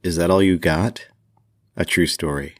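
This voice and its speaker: A man hosting a storytelling podcast, speaking candidly and wryly with deliberate, dramatic pauses. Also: sits low, fairly even intonation. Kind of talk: personal storytelling.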